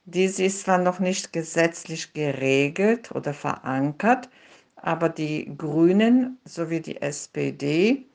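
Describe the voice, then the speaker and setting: A woman answering an interview question in a halting way, stopping to search for words. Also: sits low, lively intonation, gravelly, darkish tone.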